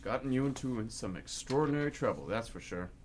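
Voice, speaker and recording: A man's voice: mid-range voice, expressive, fast talker, a little mellow, slight background noise, lightly acted. A man acting in a comic radio play as a sardonic, unimpressed policeman, dry and sceptical in manner. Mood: sarcastic, stern.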